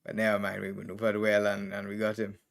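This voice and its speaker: Chess master with a Trinidadian accent, a man with a lowish voice, thinking aloud through moves in short bursts. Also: completely flat delivery, fast talker, creaky. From Trinidad and Tobago. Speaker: chess master streaming game reviews. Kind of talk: live commentary on chess games.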